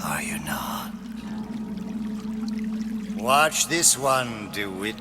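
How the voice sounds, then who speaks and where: An actor playing a flamboyant, menacing mad artist in a video game, with grandiose, florid delivery that lurches between whispers and shrieks. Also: male voice, fairly low pitch, very animated, extremely slow, over music, full character voice. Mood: sly, menacing. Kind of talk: theatrical video game villain acting.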